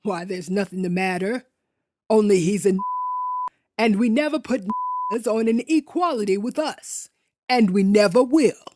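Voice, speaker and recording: Woman acting loud, stubborn, bigoted workman. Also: mid-range voice, unhurried, lightly acted. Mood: defiant contempt. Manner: blunt, emphatic, contemptuous. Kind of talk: radio drama dialogue.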